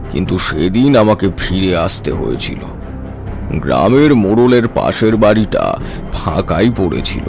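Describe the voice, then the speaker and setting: A man's voice: sits low, vocal fry, exaggerated voice. A man playing a grave tantric mystic who recounts his horror tale in a solemn, suspenseful, dramatic way. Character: grave male tantric sadhak recounting his battle with a demon. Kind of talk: dramatic scripted horror narration. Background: eerie background music.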